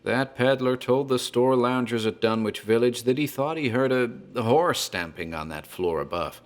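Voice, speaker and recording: A man playing a talkative rural tavern landlord who recounts a local horror tale in a measured, ominous manner. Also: mid-range voice, close to an everyday voice. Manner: measured, ominous, literary phrasing. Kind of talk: dramatic horror storytelling. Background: music.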